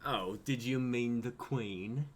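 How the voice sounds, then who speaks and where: A man's voice: mid-range voice, slow. A young adult man acting out dialogue in a dramatic script reading. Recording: noticeable background noise, online stream.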